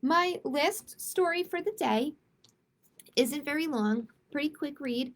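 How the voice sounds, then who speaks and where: A woman reads picture books aloud for a children's storytime in a fairly high voice with a noticeably creaky edge. Her delivery is expressive and simple. She slips into chatty explanations and checks in with her young listeners as she goes. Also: slow. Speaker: library storytime host.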